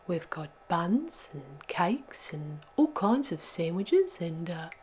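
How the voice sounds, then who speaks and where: A woman voicing a greedy, easygoing male teddy bear in a children's storybook, with a slow, dopey manner. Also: on the low side, big pitch swings, a little mellow, slight background noise. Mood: hungry, hopeful. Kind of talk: children's storybook read-aloud.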